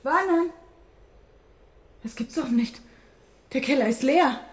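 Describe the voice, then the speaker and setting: An actress playing an anxious, distraught wife in a radio drama, stammering and breathless. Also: female voice, high voice, slow pace. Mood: alarmed.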